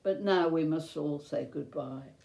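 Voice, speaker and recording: A woman voicing a kindly, selfless older widowed mother in a children's storybook tale, gentle and earnest. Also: deep voice, unhurried, gravelly. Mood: kind, resolute. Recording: roomy, echoey sound.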